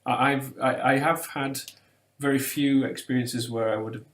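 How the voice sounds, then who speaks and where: A man's voice: mid-range voice, steady tone, unhurried, reverberant. A man, talking through ideas in a thoughtful, hesitant way with many fillers and restarts. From England. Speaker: webinar co-presenter. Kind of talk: casual academic discussion. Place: room lined with bookshelves, indoors.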